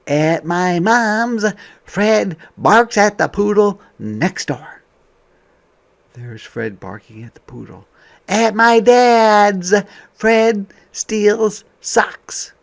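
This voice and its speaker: A man reading a picture book aloud to young children as a teacher, expressive, with softer conversational asides. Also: high voice, extremely slow, gravelly.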